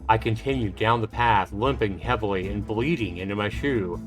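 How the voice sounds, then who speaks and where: A man hosting a livestream, reading a visual novel's first-person story aloud in an expressive, suspenseful way. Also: fairly low pitch, moderate pace. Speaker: livestream host. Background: game music.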